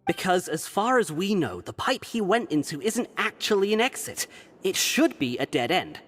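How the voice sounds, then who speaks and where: A man's voice: high voice, big pitch swings, normal speed, a bit bright, husky, exaggerated voice. An actor playing a loyal, earnest prisoner explaining things to his companions, with game music behind. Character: loyal male prisoner crew member, earnest. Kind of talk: game voice acting.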